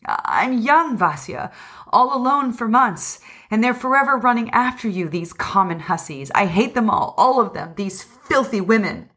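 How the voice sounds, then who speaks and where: A woman's voice: on the high side, lively intonation, normal speed, a bit bright, voice pushed up. Woman reading a vehement, pleading husband. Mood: desperate, pleading. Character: agitated, pleading revolutionary husband, self-pitying and vehement.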